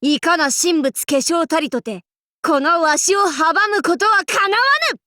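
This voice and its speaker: A woman's voice: very high voice, animated, unhurried, pushed and bright, dry recording, studio quality, heavily acted. A woman playing a proud, boisterous warlord in an anime game, with pompous, playful, archaic lordly speech. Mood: battle-proud, exultant. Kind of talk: anime game character voice lines.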